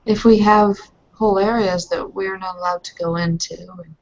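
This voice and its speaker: A woman speaking haltingly and casually as a player in a tabletop roleplaying game, on a dull recording. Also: mid-range voice, fairly even intonation.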